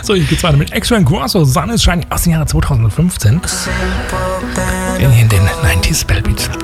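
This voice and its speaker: A man's voice: fairly high pitch, very animated, fairly quick, over music. A man from Leipzig with a Saxon accent, co-hosting a radio show in casual, joking chat, speaking with a slight temporary lisp. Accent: Saxon German. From Leipzig, Germany. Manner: chatty, joking, self-mocking, restarts words. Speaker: radio co-host.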